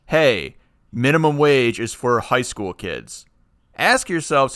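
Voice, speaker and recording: Bright, clear-voiced man podcasting. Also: mid-range voice, no echo, studio quality. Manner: emphatic, argumentative, digressing. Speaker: podcast co-host. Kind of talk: casual opinionated chat.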